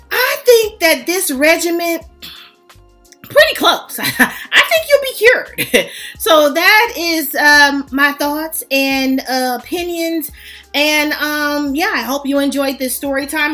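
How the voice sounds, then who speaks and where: A woman's voice: high-pitched, pushed and bright. A woman vlog host in a casual comedic storytime chat, playfully teasing and giving mock-serious instructions with frequent check-ins to her viewers.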